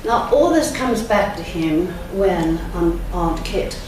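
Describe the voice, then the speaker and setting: An older Englishwoman gives a slow, reflective lecture and often pauses to search for words. Her voice booms around the vast church because the mic sits well away from her, and a faint hiss runs beneath her speech. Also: animated. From England. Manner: slow, thoughtful delivery with frequent ums. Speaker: guest lecturer. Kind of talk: church lunchtime lecture, reading quotations aloud. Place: large church. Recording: very echoey church, speaker heard from a distance, faint background noise.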